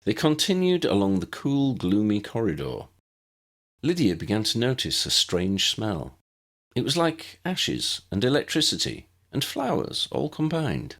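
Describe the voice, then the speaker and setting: A man narrating a scripted story for an audiobook in an expressive storytelling manner. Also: on the low side, unhurried. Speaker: author narrating own fan fiction audiobook.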